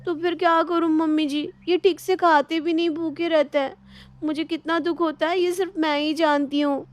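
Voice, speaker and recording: A woman's voice: very high-pitched, fairly even intonation, moderate pace, pitched above the performer's own voice. A woman voicing a young, dutiful daughter-in-law in a family story, plaintive and exasperated.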